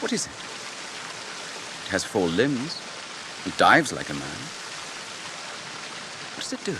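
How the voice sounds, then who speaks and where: A proud British captain in a radio drama, low-voiced and highly animated. He is formal and probing, over hiss and rushing water. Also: full character voice. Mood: alert, urgent. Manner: formal, inquisitive, imperious. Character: proud, watchful male captain questioning a stranger. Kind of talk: BBC radio drama acting. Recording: hissy radio recording, loud falling water.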